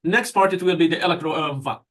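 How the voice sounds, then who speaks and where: A man's voice: fairly high pitch, fast. A man with a Persian accent, a native Persian speaker, giving an informal university lecture in a conversational way, putting questions to students and answering them.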